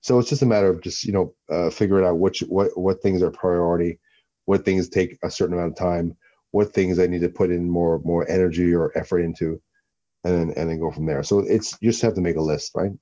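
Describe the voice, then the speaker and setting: A man guest in an interview, talking in a relaxed way, often repeating words as he gathers his thoughts. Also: sits low, brisk, muffled recording. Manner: rambling, repeats himself while thinking. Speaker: guest, actor and former fighter.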